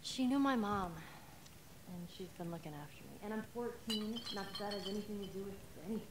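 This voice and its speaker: A woman's voice: mid-range voice, brisk, heavy background noise, close to an everyday voice. Woman as feisty, blunt teenage girl. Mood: wary, curious. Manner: blunt, sassy. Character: feisty fourteen-year-old girl, defiant and curious. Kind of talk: video game acting.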